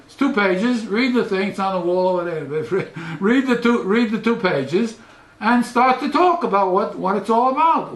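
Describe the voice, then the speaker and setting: Animated older man lecturing in an echoey study. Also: high-pitched. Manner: animated, gesturing, often trailing off quietly. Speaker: professor. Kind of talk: lecture-style philosophical talk. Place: small study with bookshelves, indoors. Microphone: headset mic.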